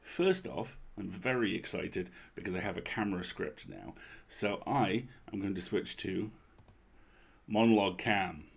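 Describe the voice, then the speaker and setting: A man's voice: low voice, a little slow, vocal fry, husky. A man hosting a livestream in casual chat, talking in an informal, welcoming and chatty way. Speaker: livestream host and story reader.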